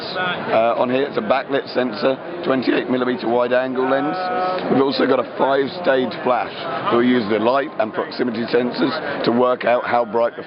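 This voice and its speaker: A man with a croaky, tired voice demoing a phone, explaining features casually with many fillers. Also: medium pitch. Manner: fillers, quick geeky explaining. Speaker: company product presenter. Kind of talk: casual product demo. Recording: lo-fi with loud background noise.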